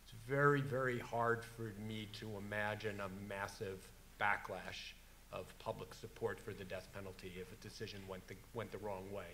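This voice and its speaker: A man speaking on a legal panel, measured, with a dry wit. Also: fairly low pitch, fairly even intonation, pushed and bright, slight background noise, poor audio. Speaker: conference panelist. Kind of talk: panel discussion.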